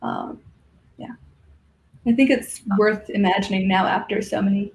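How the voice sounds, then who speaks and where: A woman's voice: mid-range voice, big pitch swings, moderate pace, vocal fry, a little mellow. A woman guest chatting casually and reflectively, searching for her words as she goes. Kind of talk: casual chat.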